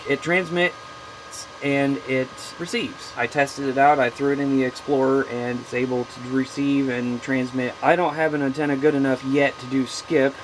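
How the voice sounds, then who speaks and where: Casual man vlogging, noisy recording. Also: medium pitch. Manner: informal, folksy slang. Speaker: hobbyist video host. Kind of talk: casual show-and-tell monologue.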